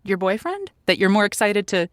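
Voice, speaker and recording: A woman's voice: medium pitch, sing-song, fairly quick, no echo, clean studio sound, natural voice. A woman playing a thoughtful, sympathetic host of a conversational call-in advice show, with a hesitant, stammering delivery. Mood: amiable, thoughtful.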